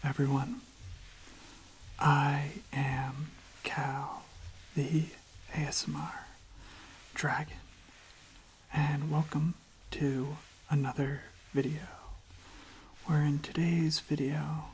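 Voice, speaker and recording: A young adult ASMR host speaking in a soft, gentle whisper, halting and repeating words, close to the microphone. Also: mid-range voice, little pitch movement, a little hiss or hum, low-quality recording.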